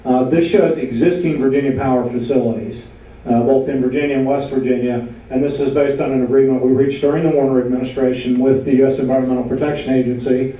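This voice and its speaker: Man talking loudly in an echoey hall. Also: mid-range voice, fairly even intonation, quick pace. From New York. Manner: loud voice, many uh fillers, asks for slides. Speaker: panel speaker. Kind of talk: slide presentation to a local audience. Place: large meeting room with an audience. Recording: far-off, dull, very echoey hall.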